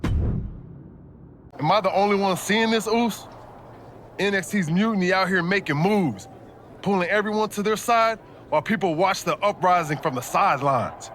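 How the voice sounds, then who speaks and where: A man's voice: high voice, measured pace, long pauses, fairly bright tone, slight background noise. A man playing a laid-back, street-smart, disillusioned pro wrestler in a video game cutscene, talking in slang. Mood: incredulous.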